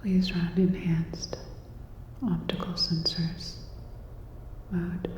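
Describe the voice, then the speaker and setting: A woman's voice: fairly low pitch, level tone, muffled recording. Whispering woman in echoing reverb. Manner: soft, measured, procedural instructions. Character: calm, clinical cyberpunk robot repair technician. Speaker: ASMR performer playing a robot repair technician. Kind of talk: whispered ASMR sci-fi roleplay.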